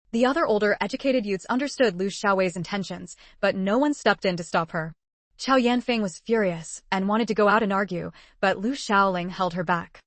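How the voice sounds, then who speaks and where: A woman narrating a translated web novel in an even, measured storytelling voice. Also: mid-range voice, no echo. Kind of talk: scripted audiobook narration.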